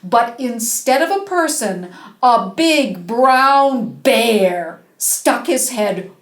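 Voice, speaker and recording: Female children's storyteller, fairly high voice, unhurried, dramatic emphasis, roomy echo. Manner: dramatic, drawn-out emphasis, animated. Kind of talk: expressive fairy-tale storytelling for children. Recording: roomy, echoing space.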